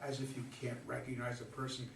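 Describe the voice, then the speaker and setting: A man's voice: mid-range voice, monotone, brisk, gravelly, natural voice. A man in a staged play reading, acting a pushy, teasing, talkative flirt who needles a stranger. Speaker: amateur play reader. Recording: distant roomy recording with faint noise.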